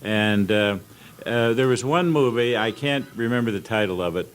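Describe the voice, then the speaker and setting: An older American man telling anecdotes as a guest on an informal panel, halting and rambling as he reminisces. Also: fairly low pitch. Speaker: panel guest at old-time radio convention. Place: indoor convention panel room. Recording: clearly noisy convention room recording.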